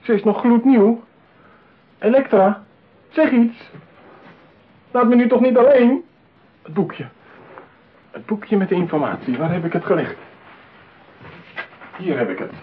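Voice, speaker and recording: A man's voice: high voice, expressive, unhurried, long pauses, exaggerated voice. An actor in a radio play playing a lonely, possessive man besotted with his mechanical bride, talking theatrically. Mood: panicked, despairing. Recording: tinny narrow-band radio play, clear hiss.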